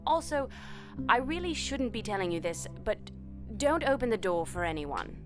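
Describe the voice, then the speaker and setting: Female actor as evasive quarantine official, measured, businesslike, naturalistic audio drama, faint music behind. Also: mid-range voice, sing-song, natural voice.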